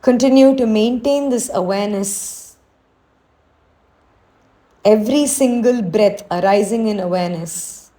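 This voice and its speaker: A woman teacher leading a guided meditation, speaking in a soothing, unhurried, gently instructing way. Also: medium pitch, low-quality recording.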